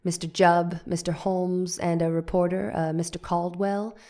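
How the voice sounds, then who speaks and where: Defense counsel, read by a woman who addresses the judges formally. The voice is lowish and flat, with even, steady delivery. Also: moderate pace, natural voice. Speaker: lawyer. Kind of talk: dramatic reading of a trial transcript.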